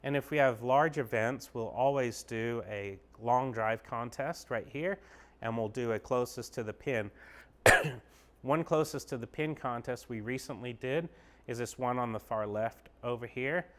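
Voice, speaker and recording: A man's voice: medium pitch, a little slow, fairly bright tone. A man giving a casual, upbeat walkthrough of an indoor golf simulator bay, explaining each screen as he clicks through it. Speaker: golf pro giving a tour.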